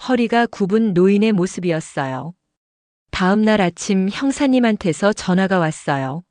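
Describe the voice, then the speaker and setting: A woman's voice: medium pitch, moderate pace, no echo. Woman narrating an audio drama. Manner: steady storytelling narration.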